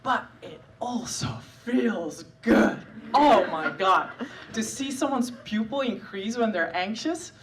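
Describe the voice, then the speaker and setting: A woman's voice: on the high side, expressive, takes it slowly, distant mic, a little hiss or hum. Dutch-accented woman doing dry stand-up. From Netherlands. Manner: dry, self-deprecating, with frequent filler sounds. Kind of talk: live stand-up comedy set. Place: stage at a live comedy show.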